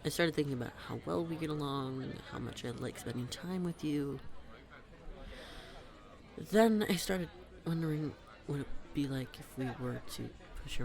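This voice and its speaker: A man playing a smitten young best friend in a roleplay audio, talking to the listener in a halting, playful, nervous way. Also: mid-range voice, vocal fry, natural voice. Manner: halting, giggly, playful, addressing the listener directly. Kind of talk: scripted roleplay audio, intimate conversational acting. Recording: public place with crowd murmur.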